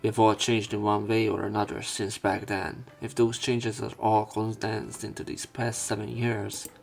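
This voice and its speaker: A man's voice: on the low side, flat delivery, moderate pace, creaky, soft background music. A man narrating a visual novel let's play, reading the text aloud and adding his own asides.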